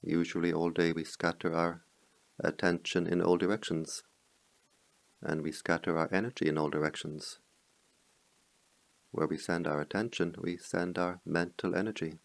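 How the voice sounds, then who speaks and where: A man leading a guided meditation as a teacher, speaking in slow, measured phrases with pauses between them. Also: low voice, level tone, vocal fry, no echo.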